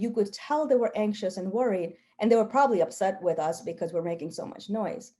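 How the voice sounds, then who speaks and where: Woman, native Gujarati speaker, health researcher at the podium. Relaxed, warm timbre, earnest delivery with deliberate emphasis. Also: mid-range voice. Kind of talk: prepared talk with personal storytelling.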